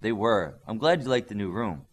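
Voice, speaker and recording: A man's voice: on the low side, big pitch swings, moderate pace, husky. A man voicing an eager young boy superhero who builds things, in an audiobook. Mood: friendly.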